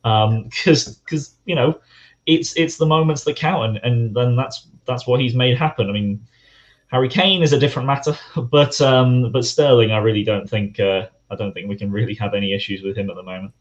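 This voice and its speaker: Brief: hoarse male guest, rambling casual chat, average pitch, muffled lo-fi call audio. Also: normal speed. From England. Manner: rambling, many fillers and restarts. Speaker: guest fan.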